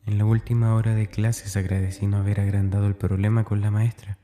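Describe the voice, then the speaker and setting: A man's voice: on the low side, level tone, a little fast, dark tone, close to an everyday voice. An actor playing a tormented, fearful schoolboy, narrating his diary in a confiding, anguished, dramatic way. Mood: subdued, confiding.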